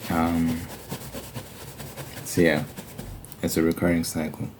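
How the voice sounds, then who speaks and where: A man speaking in a casual, halting ASMR style, explaining religious ideas informally and posing questions as he goes. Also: low voice, level tone. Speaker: ASMR video creator. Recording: faint background noise.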